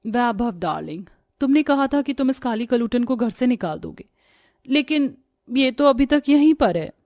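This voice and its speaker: Woman playing a scheming, high-voiced young mistress. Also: expressive, moderate pace, darkish tone, no echo, clean studio sound. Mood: coaxing.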